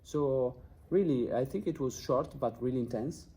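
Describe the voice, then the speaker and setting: A man's voice: medium pitch, normal speed, warm tone. A man acting as interviewer, speaking in the formal style of a research interview. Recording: faint background noise and ambience.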